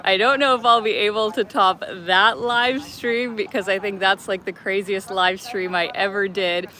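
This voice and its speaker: A woman's voice: mid-range voice, steady tone, normal speed, bright, forceful tone. A young woman hosting a travel live stream outdoors in a city park, chatty and laughing often as she greets viewers by name.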